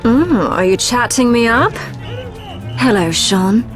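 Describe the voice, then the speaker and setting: An actress playing a flirtatious upper-class British socialite in a video game, arch, playful and teasing. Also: female voice, on the high side, lively intonation, slow pace, faint music behind. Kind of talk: video game cutscene acting.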